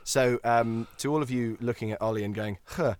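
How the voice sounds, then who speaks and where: A man's voice: mid-range voice, steady tone, brisk, darkish tone, dry recording, studio quality. A man chatting as a podcast guest, rambling and jokey, often interrupting himself as he gives anecdotes and advice. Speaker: guest, voice actor and voiceover tutor.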